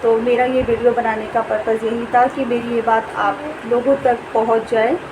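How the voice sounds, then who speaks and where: A woman's voice: a little high, flat delivery, normal speed. An Indian woman vlogger speaking informally and earnestly to viewers, explaining and repeating her points for emphasis. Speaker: vlogger and school staff member. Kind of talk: informal spoken warning to viewers. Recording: noisy background hum.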